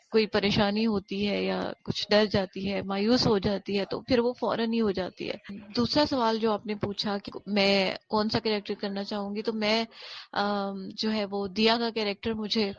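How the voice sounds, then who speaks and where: A woman answers interview questions in a middle-pitched voice. She feels her way through long explanations, and the lo-fi recording dulls her sound. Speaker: audio drama voice actor being interviewed. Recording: dull lo-fi audio.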